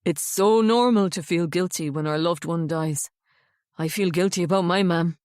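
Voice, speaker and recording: A woman voicing a gentle young man, kind and grieving, speaking softly. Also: on the low side, moderate pace, dry recording, clean studio sound, voice pushed down, lightly acted. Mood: sad, tender.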